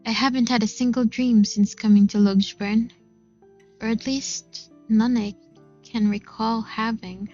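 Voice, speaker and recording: Expressive woman narrator, slightly high, muffled audio. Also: a little slow. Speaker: streamer reading a visual novel aloud. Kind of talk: expressive first-person story narration. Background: game music.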